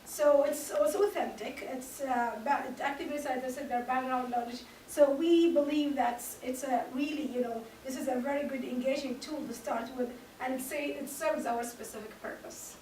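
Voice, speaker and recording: A woman giving a class presentation, explaining in a halting, loosely phrased way, recorded from the back of a large classroom. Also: high-pitched, fairly even intonation, warm tone. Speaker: student presenter. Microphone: far camera. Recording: echoey room from far off, noisy.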